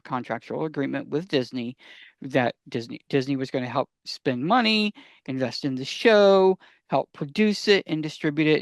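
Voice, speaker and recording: A man's voice: a little high, dry recording, clean studio sound. An American man co-hosting a podcast, explaining things emphatically and repeating phrases for stress. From United States. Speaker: podcast co-host.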